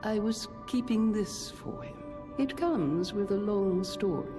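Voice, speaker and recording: A woman playing a gracious, composed older hotel owner in a video game, measured and wry. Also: mid-range voice, music in the background, lightly acted. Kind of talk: video game character acting.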